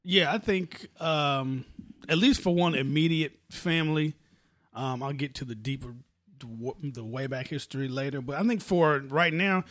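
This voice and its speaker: Man co-host, a bit higher pitch, blunt, anecdotal, casual. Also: moderate pace, fairly bright tone. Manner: blunt, storytelling. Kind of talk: casual discussion.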